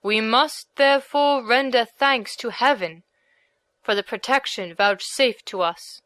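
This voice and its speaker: A woman's voice: fairly high pitch, unhurried, a bit bright, dry recording. A woman voicing a brave, noble male warrior leader in an audiobook, with an earnest, commanding delivery. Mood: confident, reverent. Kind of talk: audiobook character reading.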